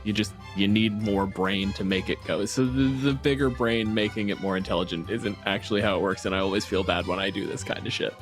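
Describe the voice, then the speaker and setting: Low-pitched man explaining things casually. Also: steady tone, a little fast, hardly any pauses, soft background music. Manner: explanatory, analytical. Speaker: podcast host. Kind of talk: casual panel discussion.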